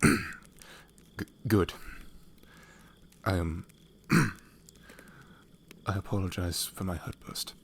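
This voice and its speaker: A man playing a proud, earnest young knight in a fantasy ASMR audio roleplay, formal and halting, easily flustered. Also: low voice, fairly even intonation, vocal fry, a bit bright, husky, exaggerated voice. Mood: contrite.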